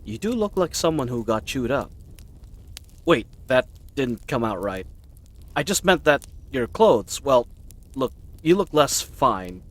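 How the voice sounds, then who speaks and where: Man playing a bumbling knight with animated, swooping pitch. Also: a little slow. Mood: awkward, flustered. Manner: rambling, hesitant, awkwardly earnest. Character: bumbling, babbling, kind-hearted adventuring knight man. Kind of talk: character roleplay audio drama, addressed to listener.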